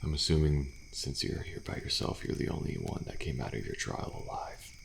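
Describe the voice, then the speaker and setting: Male actor as weary but friendly horror-game survivor, ASMR roleplay. Extremely low, heavy fry, hushed and reassuring. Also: slight background noise. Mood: calm, resigned. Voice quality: deep, gravelly. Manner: soft-spoken, hushed, unhurried, reassuring. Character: weary, friendly male survivor of deadly trials, resigned yet hopeful. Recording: faint night insect ambience behind.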